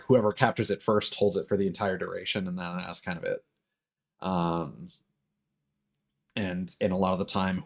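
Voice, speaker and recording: A man with a fairly low voice gives casual, rambling live-stream commentary. He sounds exasperated as he gripes, animated and prone to swearing, like a game streamer talking to viewers.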